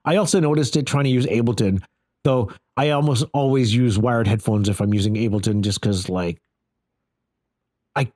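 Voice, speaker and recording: A man's voice: mid-range voice, moderate pace, a little mellow. A man giving a casual, conversational first-person gear review, repeating phrases for emphasis. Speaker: product reviewer.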